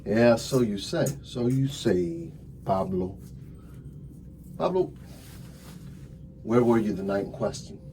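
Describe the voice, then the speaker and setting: A man playing a calm, methodical, sardonic police detective in an ASMR roleplay, talking deliberately with drawn-out phrasing and wry asides. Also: fairly low pitch, slight background noise. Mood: pressing, sardonic. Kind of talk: slow ASMR roleplay acting. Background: music.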